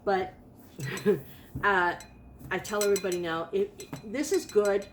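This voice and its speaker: A woman's voice: mid-range voice, steady tone, unhurried, a little hiss or hum. A woman in her late fifties telling her own story in a chatty, rambling vlog, often restarting her sentences. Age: 50s. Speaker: vlogger. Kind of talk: casual personal testimonial.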